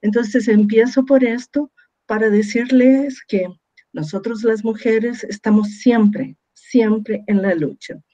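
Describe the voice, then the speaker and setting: A Chilean-born woman whose Spanish carries a strong Brazilian Portuguese accent, giving a measured talk on a video call with frequent hesitations. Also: fairly high pitch, steady tone, mellow, muffled recording. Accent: Chilean Spanish with strong Brazilian Portuguese influence. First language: Spanish, long living in Brazil. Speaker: architect and webinar speaker.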